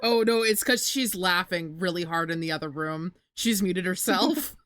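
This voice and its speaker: Woman streamer, lower voice, smooth and dry, bubbly chat-reader. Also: normal speed, a bit bright, no echo. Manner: reads chat aloud, bubbly, swears casually.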